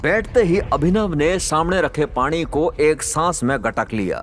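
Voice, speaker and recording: A man's voice: on the high side, lively intonation, measured pace. A man with a Haryanvi accent reading scripted audio drama narration. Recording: music behind the voice.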